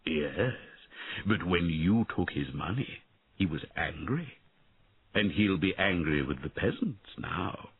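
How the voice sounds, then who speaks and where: Storybook dragon played by a man: gentle, melancholy, low and slow, husky, narrow phone-like sound. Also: expressive, dry recording, exaggerated voice. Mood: reproachful. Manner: quiet, measured, melancholy. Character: gentle, sad, thoughtful old-code male dragon.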